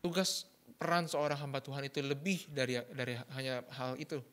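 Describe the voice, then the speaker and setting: A man interpreting a sermon live, talking quickly and often restarting with fillers and self-corrections. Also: mid-range voice, a bit bright. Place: church.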